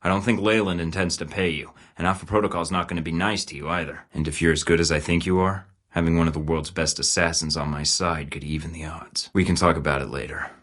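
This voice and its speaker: A man's voice: low-pitched, fairly even intonation, fast talker, hardly any pauses, fairly bright tone. An actor playing a male secret agent in a video game, speaking dry, clipped, matter-of-fact lines. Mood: terse.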